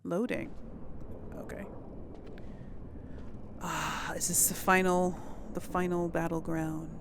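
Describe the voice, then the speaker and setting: A woman's voice: lowish voice, flat delivery, slow, background noise. A woman giving casual gameplay commentary as a let's play host, musing aloud to herself. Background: video game music.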